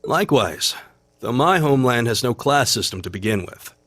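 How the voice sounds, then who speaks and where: Calm, courteous young foreigner. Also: male voice, mid-range voice, normal speed, no echo, faint music behind. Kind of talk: video game voice acting.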